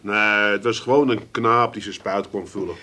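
An actor playing an excitable, shifty small-time crook, blustering in a comic radio play. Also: male voice, on the low side, normal speed. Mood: coaxing. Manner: blustering, repeats words when flustered.

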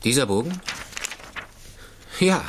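An actor playing an earnest, stubborn idealist programmer with short, clipped replies in a 1960s German radio drama. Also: male voice, medium pitch, big pitch swings, slow, a little hiss or hum. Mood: earnest, defensive. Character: earnest nonconformist male programmer, stubborn idealist under suspicion.

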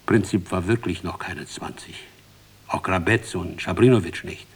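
An actor playing a grim, ominous man who warns of death in a radio drama, halting and breathy. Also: sits low. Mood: grim, hushed. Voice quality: husky, breathy. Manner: ominous, halting, dropping to whispers. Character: grim, urgent man warning an old drinker of death. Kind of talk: 1960s German radio drama acting.

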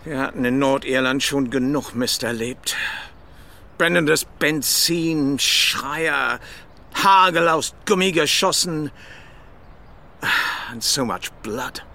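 Radio crime drama: older British ex-soldier, embittered, defensive, tense bright delivery, noticeable background noise. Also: male voice, mid-range voice, takes it slowly, gravelly, heavily acted. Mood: bitter. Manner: bitter, defensive.

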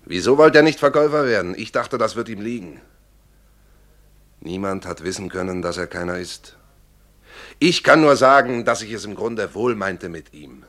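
An actor playing a defensive carpenter giving testimony in a drama, formal and self-justifying. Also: male voice, low-pitched, moderate pace, fairly bright tone. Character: defensive male carpenter testifying, evading blame. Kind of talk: stage-drama courtroom monologue.

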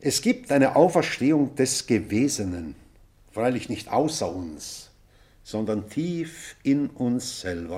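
An actor playing a solemn, lofty man who addresses old schoolmates in deliberate, rhetorical radio-play speech. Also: mid-range voice, a little mellow. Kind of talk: theatrical radio play oratory.

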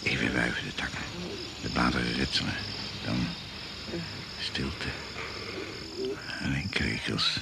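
A very deep, gravelly male narrator tells a jungle adventure radio play. He speaks in short, suspenseful phrases. Insects chirp through a very noisy recording. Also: fairly even intonation, unhurried, pauses often, heavily acted. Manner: terse, suspenseful, clipped phrases. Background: crickets and jungle insects.

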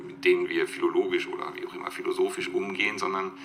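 A man speaking spontaneously in intellectual talk, halting and searching for words. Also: deep voice, level tone, creaky, a bit bright, a little hiss or hum.

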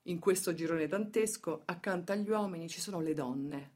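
A woman delivering dramatic scripted documentary narration for radio theatre, measured and emphatic, setting phrases apart with pauses. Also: fairly low pitch, darkish tone.

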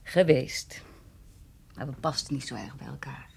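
An actress playing a gentle, wistful woman in an intimate radio play, speaking in a hushed, halting way. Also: extremely low pitch, creaky, slightly rough, full character voice. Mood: shy, wistful. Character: gentle, wistful adult woman consoling a teenage boy. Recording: radio play, faint noise.

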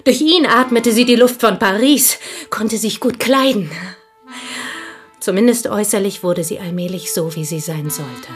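An actress in a German radio drama playing a possessive, intense village schoolteacher who confides deliberately, often sinking toward a whisper. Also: female voice, mid-range voice, soft background music, close to an everyday voice.

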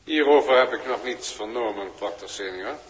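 A man's voice: mid-range voice, normal speed, lightly acted. A man with a Netherlands Dutch accent playing a humble, officious alien registrar in a 1960s radio play, speaking in a formal, precise and obsequious way. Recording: old radio recording, long hall reverb.

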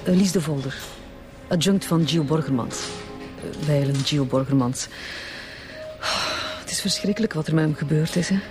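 A woman's voice: sits low, moderate pace, long pauses, lightly acted. Female actor, earnest Flemish bank employee, formal, hesitant, radio drama, music underneath. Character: proper, earnest female bank branch deputy. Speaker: bank deputy manager. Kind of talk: naturalistic radio drama dialogue.

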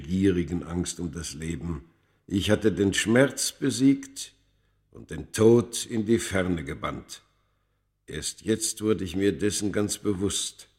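A man playing a proud ancient Egyptian who narrates his own story in a radio play, solemn, measured and dramatic. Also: low-pitched. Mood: solemn, haunted. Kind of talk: literary first-person narration in a radio play.

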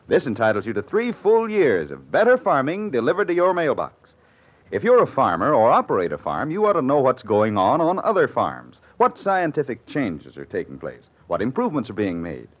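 A man announcing a vintage radio advertisement, with huge swings of pitch and a husky edge. He sells formally and emphatically in a mid-range voice, on a muffled old recording. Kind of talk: 1950s radio advertisement read.

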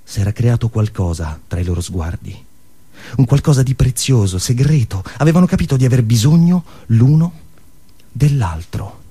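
A man narrating a scripted story theatrically, dramatic and emphatic, with staged pauses. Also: medium pitch, animated, dark tone, husky.

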